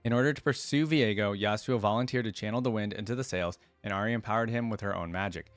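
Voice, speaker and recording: A man's voice: on the low side, fast, no room sound, soft background music. A man hosting a reaction video, reading written lore aloud and breaking off for casual commentary. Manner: casual asides while reading.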